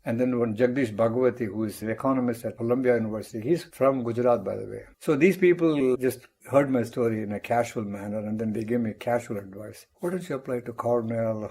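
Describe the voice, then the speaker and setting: Older man, mellow and husky. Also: mid-range voice. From Tamil Nadu, India. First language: Tamil. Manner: measured, reflective recounting with wry asides. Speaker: guest professor. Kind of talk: personal storytelling interview.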